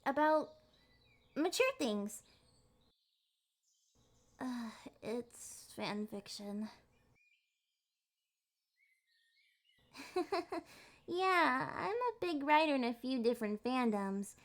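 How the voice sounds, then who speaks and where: A woman plays an adorkable young fox girl in an ASMR roleplay. Her voice is high, and she talks in prim, wordy phrases with flustered hesitations, trying hard to sound mature. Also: lively intonation, slow pace, long pauses, exaggerated voice. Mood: proud, bashful. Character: adorkable young adult female kitsune fox, flustered, primly formal. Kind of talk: ASMR roleplay, acted one-sided date conversation.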